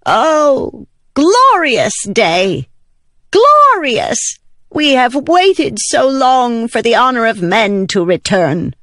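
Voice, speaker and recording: A timid, fawning little male plant spirit put on by a female audiobook narrator. The voice is creaky and unhurried, with big excitable swings in pitch, in a dry recording. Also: dry recording, heavily acted. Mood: breathlessly joyful. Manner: fretful, fawning.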